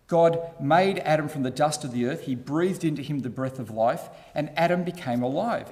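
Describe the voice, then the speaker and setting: A man's voice: on the high side, a little mellow, long reverb tail. Methodical man arguing a point. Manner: methodical, repeating key points. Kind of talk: explanatory argument.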